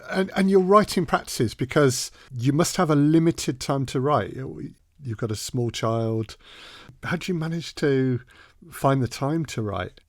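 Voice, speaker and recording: A man's voice: mid-range voice, measured pace, no room sound, studio quality. A man hosting a podcast, asking questions in a conversational interview style.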